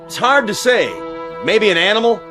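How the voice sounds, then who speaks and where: A man's voice: high voice, normal speed, music in the background, close to an everyday voice. An actor playing a grumbling, curious police patrolman in a naturalistic radio drama. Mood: alert, excited.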